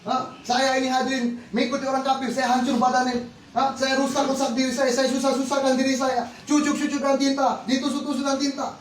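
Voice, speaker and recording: A man's voice: very high-pitched, little pitch movement, fast talker, a little hiss or hum. A man preaching a fervent, rhythmic sermon to a congregation, repeating set phrases. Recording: echoey hall recorded from far off.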